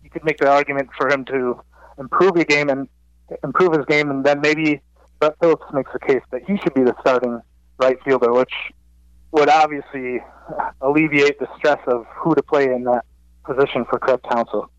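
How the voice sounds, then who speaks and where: A man's voice: mid-range voice, fairly even intonation, normal speed, dry recording. A young man, a student sports writer, giving rambling interview answers that correct themselves as he goes. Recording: dry, dull lo-fi line.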